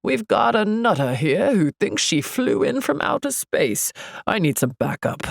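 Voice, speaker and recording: A woman voicing a surly, scornful male security guard in an audiobook, gruff, curt and sarcastic. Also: high-pitched, sing-song, measured pace, no echo, studio quality, voice pushed up, heavily acted. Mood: mocking, annoyed.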